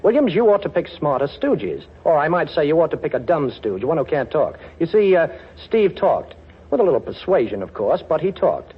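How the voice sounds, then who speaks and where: An actor playing a cocky, wisecracking amateur detective in a 1940s radio drama, with a sardonic, teasing manner. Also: male voice, fairly high pitch, big pitch swings, normal speed, husky, exaggerated voice. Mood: coolly confronting. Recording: muffled old radio broadcast, vehicle noise.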